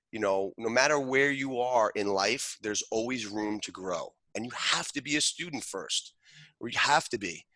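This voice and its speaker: A man's voice: on the low side, pushed and bright, no room sound, poor audio. A man, a martial arts grandmaster, speaking earnestly and reflectively as a guest in an interview, with frequent restarts. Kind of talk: interview conversation.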